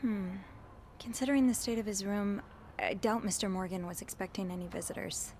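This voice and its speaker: A woman plays a curious young hotel maid who talks earnestly and a little hesitantly. Her voice sits in a middle pitch. A faint hiss lies under the game audio. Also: brisk, a little mellow, lightly acted. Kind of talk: video game voice acting.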